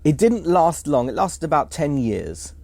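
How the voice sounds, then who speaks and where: A man's voice: fairly high pitch, big pitch swings, measured pace, gravelly, a little mellow, no room sound. A man appearing as a guest on a podcast, explaining history fluently in a lecturing way with some fillers. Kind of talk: casual explanatory podcast talk.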